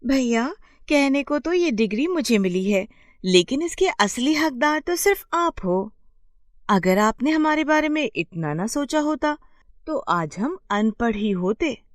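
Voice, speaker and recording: A woman's voice: sits high, expressive, a little slow, no room sound, clean studio sound. A woman voicing a devoted, caring young sister, earnest and protective, in a storybook tale. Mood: grateful. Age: young adult.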